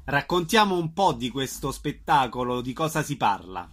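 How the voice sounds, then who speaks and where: A man's voice: mid-range voice, very animated, moderate pace, a bit bright. A man hosting a radio interview, putting questions to his guest in a conversational way.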